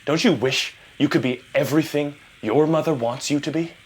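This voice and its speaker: A man's voice: fairly high pitch, normal speed, breathy edge, poor audio. An actor playing a smug, delusional male movie star in an audio drama, theatrical and seductive, slipping between whispers and bursts. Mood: grandiose, intense.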